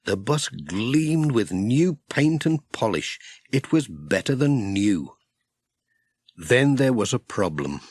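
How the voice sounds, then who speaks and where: A man's voice: medium pitch, very animated, slow, a little mellow, husky, no room sound. A man with a British accent reading a children's story as a scripted audiobook narrator.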